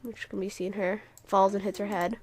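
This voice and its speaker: A woman's voice: mid-range voice, level tone, moderate pace. A woman talking casually and unscripted as she walks viewers through a video, rambling a little and often trailing off. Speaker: video creator explaining own roleplay series. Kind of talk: casual unscripted walkthrough commentary.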